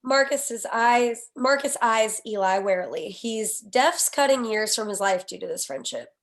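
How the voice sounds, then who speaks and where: A woman's voice: on the high side, animated, unhurried. A woman reading out scene headings and action lines as scripted narration for a screenplay table read. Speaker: stage direction reader.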